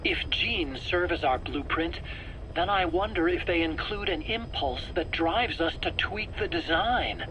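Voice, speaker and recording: A man's voice: fairly high pitch, animated, measured pace, pushed and bright. An actor playing a nervous, self-justifying male scientist in a video game interrogation, stammering and earnest. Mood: earnest, intrigued. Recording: noisy cassette tape interrogation recording.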